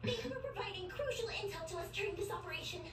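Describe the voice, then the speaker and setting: Squeaky, eager young police rookie. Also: female voice, lively intonation, racing, recorded from a distance, background noise. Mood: earnest. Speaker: character in an animated game trailer. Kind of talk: animated game voice acting. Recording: echoey TV playback picked up from afar.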